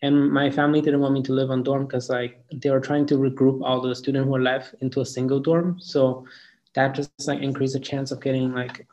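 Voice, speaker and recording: A young man of about twenty, answering interview questions in a halting way, with lots of "like" and "um". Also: medium pitch, a little mellow, a little hiss or hum. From Cameroon. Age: around 20. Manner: halting, hedging with "like" and "um". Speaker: student interviewee. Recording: dull lo-fi recording.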